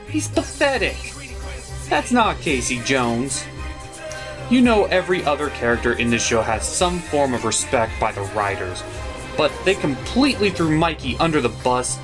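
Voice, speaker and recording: A performer voicing an opinionated puppet gentleman who hosts scripted comedy cartoon reviews in a blunt way. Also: medium pitch. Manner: blunt, exasperated, swears freely.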